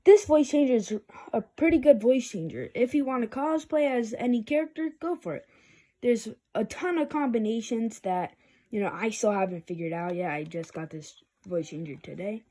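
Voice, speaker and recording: Casual vlog reviewer, soft mellow tone, informal and rambling, slightly hesitant delivery. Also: mid-range voice, lively intonation, normal speed. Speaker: video reviewer.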